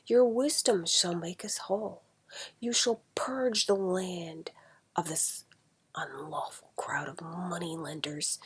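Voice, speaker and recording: A woman voicing a jubilant, worshipful political crowd in a storybook, with loud, drawn-out, declaiming cries. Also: medium pitch, husky, exaggerated voice. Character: jubilant, worshipful mob hailing its political saviour.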